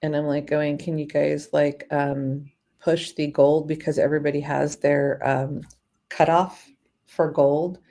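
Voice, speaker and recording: A woman with a somewhat low voice chatting on a casual live stream. She is blunt and chatty and swears offhandedly. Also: little pitch movement, a little slow, dark tone. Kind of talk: casual chat.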